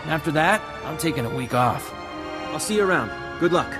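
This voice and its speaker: A man's voice: on the high side, expressive, brisk. An actor playing a harried, grateful male undercover operative in a video game, friendly in manner. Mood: friendly, weary. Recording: loud game music behind.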